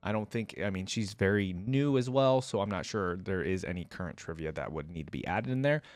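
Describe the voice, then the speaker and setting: A man hosts a reaction video in a slightly low voice. He reads quickly and drops in casual asides, recorded very dry without room sound. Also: animated, dry recording. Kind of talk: reading lore aloud with casual commentary.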